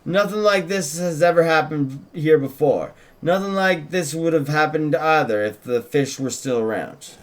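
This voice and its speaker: A man plays an eager young small-town deputy in a drama table read. The voice sits high and speaks with polite, folksy deference. The manner is talkative and earnest. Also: moderate pace, hardly any pauses, close to an everyday voice. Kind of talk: script table read, in character.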